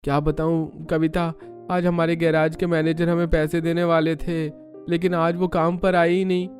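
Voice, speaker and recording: A man's voice: fairly high pitch, completely flat delivery, normal speed, a little mellow, faint music behind, lightly acted. A man voicing a poor, hardworking younger brother in a cartoon, a mechanic with a complaining manner. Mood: explaining.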